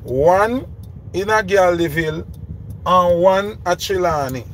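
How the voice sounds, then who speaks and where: A man's voice: high voice, slow pace, fairly bright tone. Jamaican man ranting in his car. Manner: emphatic, repeats questions, Patois mixed with English. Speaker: vlogger. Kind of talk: ranting commentary. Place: inside a parked car. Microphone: phone camera held close. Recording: noisy car interior.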